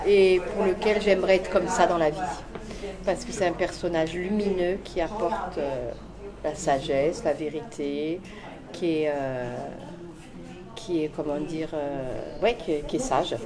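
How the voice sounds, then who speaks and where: A woman's voice: lowish voice, unhurried. An actress describing her character in an interview, searching for words with hesitations. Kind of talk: casual interview. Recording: loud crowd behind.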